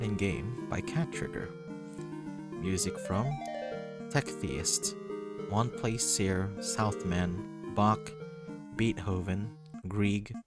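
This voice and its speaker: A man with a lowish voice reads game text aloud as a streamer. He takes it very slowly, and music plays clearly behind him. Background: background music.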